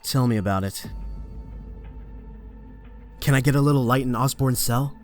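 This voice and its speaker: A man's voice: mid-range voice, moderate pace, close to an everyday voice. Male actor playing wisecracking young superhero: mid-range voice, earnest and urgent, comic-dub drama over faint music. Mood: wry, focused.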